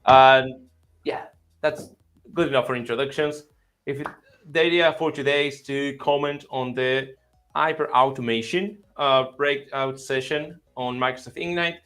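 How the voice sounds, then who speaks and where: A man's voice: medium pitch, steady tone, a little slow, fairly bright tone. Man hosting a casual online panel. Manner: friendly, rambling with fillers. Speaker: host.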